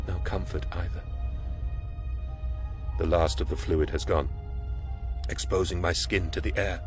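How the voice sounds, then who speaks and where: A deep, grave male voice as an ancient warrior entombed in a war machine, telling his own death with stoic faith. The delivery is solemn and dramatic, audio drama style, over prominent music. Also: fairly even intonation, measured pace. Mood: dying, fervent. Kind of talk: first-person audio drama narration. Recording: loud music behind the voice.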